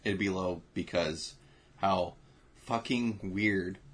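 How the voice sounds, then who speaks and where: A man's voice: low-pitched, slow, husky. A man co-hosting a casual movie review podcast, swearing often and laughing as he talks. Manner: profane, laughing, reads reviews aloud. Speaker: podcast co-host.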